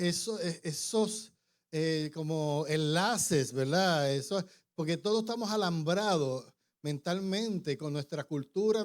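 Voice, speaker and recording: A middle-aged man and pastor, originally an English speaker, preaching in an informal way with frequent restarts and tag questions. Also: sits high. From born in New York, raised in Puerto Rico. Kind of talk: conversational sermon.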